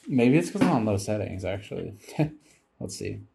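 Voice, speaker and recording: Tired, low-voiced man with gravelly fry. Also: big pitch swings, normal speed, background noise. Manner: musing aloud, thinking as he goes, casual swearing. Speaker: video creator commenting over game footage. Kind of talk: rambling casual commentary.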